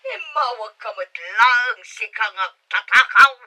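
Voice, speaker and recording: An actress playing a haughty, accusing mistress of a wealthy household in a radio drama. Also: female voice, fairly high pitch, expressive, takes it slowly, bright, forceful tone, heavily acted. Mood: accusing, angry.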